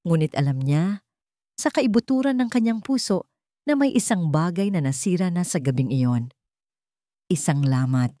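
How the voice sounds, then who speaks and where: Low-pitched Filipino woman narrating a dramatic story. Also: lively intonation, moderate pace, pauses often, mellow, no room sound, studio quality.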